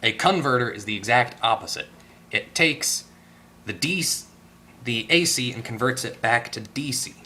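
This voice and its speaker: A man giving a casual hands-on electronics repair tutorial, tentative and thinking out loud as he goes. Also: medium pitch, expressive, moderate pace, slight background noise. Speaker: repair video host. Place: at a workbench indoors.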